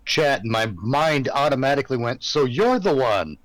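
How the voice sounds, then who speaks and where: A man's voice: medium pitch, lively intonation, moderate pace, no echo. A man joking around as a panelist in casual banter.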